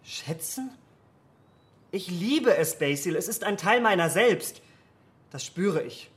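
A man's voice: high-pitched, lively intonation, slow, fairly bright tone, exaggerated voice. An actor playing a vain, impetuous young man in a German radio play, speaking in a fervent, melodramatic way. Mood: alarmed, passionate.

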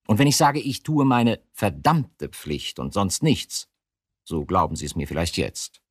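A man's voice: fairly low pitch, very animated, measured pace, husky, dry recording, clean studio sound, exaggerated voice. An actor playing an exasperated, dutiful male police detective in a German radio drama, insistent and pedantic in manner. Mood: irritated, defensive.

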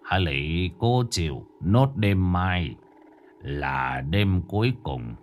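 A man voicing a solemn male exorcist master who gives slow, low, foreboding warnings. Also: animated, muffled recording, slight background noise. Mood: grave warning.

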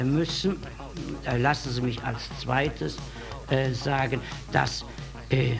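Male bureaucrat interviewee, heavily hesitant with repeated words, gravelly edge, music underneath. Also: medium pitch. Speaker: statistics office official being interviewed. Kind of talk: halting interview answer.

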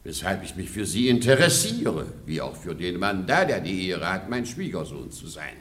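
An actor playing a pompous, boastful and forgetful baron in a radio play of a classic comedy. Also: male voice, sits low, sing-song, moderate pace, fairly bright tone. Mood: self-important. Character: pompous, blustering, forgetful aristocratic baron and father-in-law.

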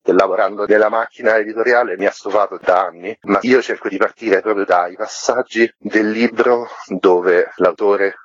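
Man, low husky voice, colloquial and wry interview guest, dry muffled radio link. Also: fairly even intonation, moderate pace, few pauses, dry recording. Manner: colloquial, wry, self-deprecating, mildly profane. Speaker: interview guest, book series curator. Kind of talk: informal radio interview, explaining.

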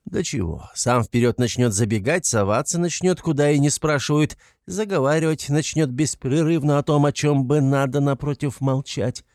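A man reader puts on a cunning male investigator from a classic novel. He rambles and fusses, coaxing with obsequious, fatherly endearments that hide a sly intent. The pitch is medium and the sound is dry. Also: moderate pace, hardly any pauses, a little mellow, no room sound, natural voice. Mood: sly, taunting. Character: wily, fussy, cackling male police investigator, falsely fatherly.

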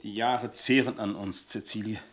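A man's voice: fairly low pitch, fairly even intonation, normal speed, fairly bright tone, slight background noise, natural voice. A middle-aged man in a German radio play, playing a scheming manservant who speaks in stilted, formal, self-pitying phrases. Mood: weary, aggrieved. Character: aggrieved, pompous, scheming ageing manservant.

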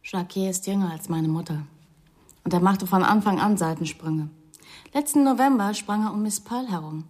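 Young woman, grown daughter played by an actress in a radio crime play, average female pitch. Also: normal speed, darkish tone, close to an everyday voice. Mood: explanatory. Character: young Parisian daughter of a domineering bourgeois mother. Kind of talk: radio crime drama acting.

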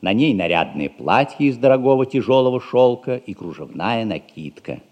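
A man narrating a stage play in a measured, scripted, wryly descriptive theatrical style. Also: medium pitch, sing-song, darkish tone. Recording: reverberant hall with music underneath. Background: music.

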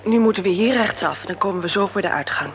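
A woman playing a candid, soft-spoken visitor. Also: mid-range voice, fast talker, background noise, close to an everyday voice. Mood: friendly. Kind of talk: radio play acting.

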